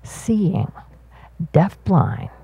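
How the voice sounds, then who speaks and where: A woman voicing a live sign language interpretation of a panel talk, halting, with fillers and restarts as she follows the signer. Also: on the low side, very animated, creaky, dark tone, husky, dry recording.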